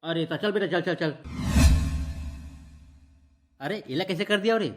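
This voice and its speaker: A woman voicing a folksy, easily flustered village headman, fatherly yet gruff, in a Chhattisgarhi comedy cartoon. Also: on the low side, fast, close to an everyday voice.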